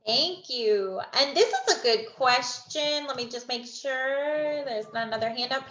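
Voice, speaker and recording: A woman's voice: high-pitched, measured pace, fairly bright tone. A woman moderating a video session for children, encouraging them and passing along their questions. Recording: echoey, dull video call audio.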